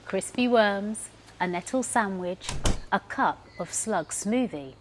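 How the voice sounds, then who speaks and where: A woman's voice: medium pitch, expressive, slow pace, noisy background. A woman professional storyteller narrating a children's story expressively, leaning into the sound words. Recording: music behind the voice. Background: music.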